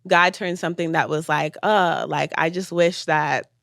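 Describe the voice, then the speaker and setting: A young woman from the States chats on a podcast with a slightly low voice, thoughtful and loose, full of casual fillers. The sound is close and dry, with no room around it. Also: fairly even intonation, normal speed, creaky, a bit bright, dry recording. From United States. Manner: reflective, casual, many fillers. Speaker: podcast guest, filmmaker.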